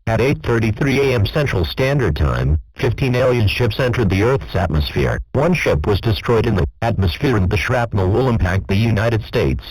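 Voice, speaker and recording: Low-voiced man reading emergency alerts. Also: expressive, darkish tone, breathy edge, dry recording, poor audio. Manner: formal, measured, impersonal. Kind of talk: scripted emergency broadcast announcement.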